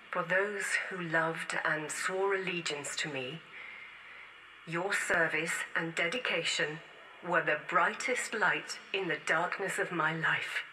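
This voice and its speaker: A defiant rebel woman records her grave last testament for a science-fiction game audio log. Her voice is fairly low and tense with a bright, forceful edge, solemn and deliberate in delivery. Noticeable noise sits behind her words. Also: full character voice, rough recording.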